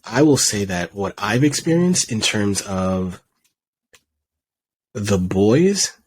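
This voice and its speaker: A man hosting a casual interview, speaking as a middle school teacher in a relaxed, reflective storytelling way. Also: lowish voice, slow pace, breathy edge.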